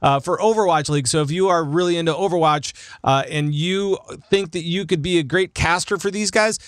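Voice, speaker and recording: A man hosting a casual gaming podcast, chatting in an animated, jokey way and often interrupting himself. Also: on the high side, a little fast, clear, resonant tone, dry recording, clean studio sound. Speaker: podcast host.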